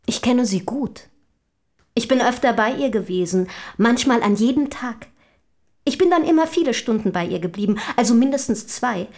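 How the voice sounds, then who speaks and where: A woman's voice: a little high, lively intonation, normal speed, a little mellow. An actress in a German radio play voicing an eager, self-assured young woman of a rich family, speaking formally and articulately. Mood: chatty, proud.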